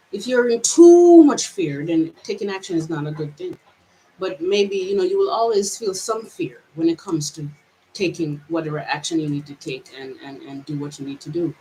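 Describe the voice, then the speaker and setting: A woman's voice: lowish voice, darkish tone, slight background noise, low-quality recording. A woman from Jamaica with a mixed Jamaican and American accent, giving a reflective personal vlog monologue in a hesitant, searching way with many restarts. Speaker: vlogger.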